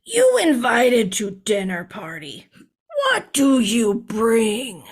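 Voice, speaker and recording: A woman playing a picky, disdainful, brain-hungry zombie in a comic audio play, with husky groans and broken speech. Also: fairly high pitch, sing-song, takes it slowly, exaggerated voice.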